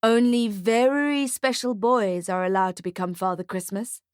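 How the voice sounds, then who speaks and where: A woman voicing a kindly man working as Father Christmas, gentle and encouraging, in an audiobook story. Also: mid-range voice, steady tone, normal speed, clean tone, dry recording, studio quality, lightly acted.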